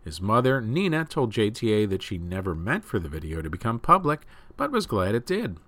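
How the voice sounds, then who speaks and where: A man's voice: fairly low pitch, sing-song, brisk, a bit bright, no room sound. A man reading scripted news stories as the host of a news program.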